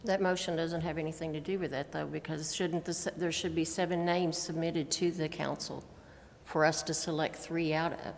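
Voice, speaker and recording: A woman speaks about procedure at a council meeting in a low, level voice. The chamber is reverberant, with faint noise underneath. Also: steady tone, normal speed, gravelly.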